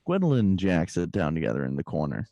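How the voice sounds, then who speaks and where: A man's voice: low voice, huge pitch swings, fast talker, creaky, mellow, no echo, highs cut off. A man reading out stage directions as scripted narration.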